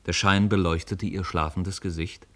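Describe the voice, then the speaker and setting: A man's voice: deep voice, steady tone, moderate pace, a bit bright. A man narrating a story for a radio play in scripted storytelling style.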